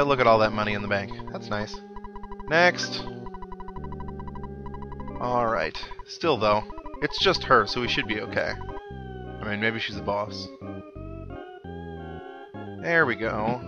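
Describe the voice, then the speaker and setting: Gravelly low-voiced man, casual game commentary. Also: lively intonation, unhurried, long pauses. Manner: rambling, wry, musing aloud. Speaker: host of a video game playthrough series. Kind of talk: casual let's play commentary. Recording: dull lo-fi mic under loud game music. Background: video game music.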